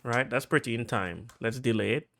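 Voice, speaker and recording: A man's voice: medium pitch, moderate pace, gravelly, loud music behind the voice. Chatty Trinidadian man explaining beats. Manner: chatty, lots of "like" fillers, gets excited. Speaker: music producer. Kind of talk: casual production tutorial.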